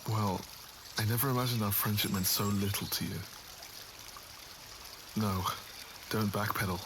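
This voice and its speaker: Male actor as lovesick nobleman, earnest, formal, wounded, lower register, ASMR roleplay, trickling ambient noise. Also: fairly even intonation, a little slow. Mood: hurt. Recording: audible water and outdoor ambience noise.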